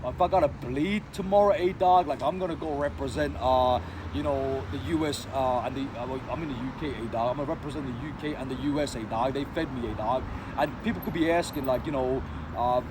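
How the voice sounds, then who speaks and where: A man's voice: medium pitch, brisk. A man from Sri Lanka, rambling through a casual monologue full of slang, fillers and restarts. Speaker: vlogger. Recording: noisy street with passing cars.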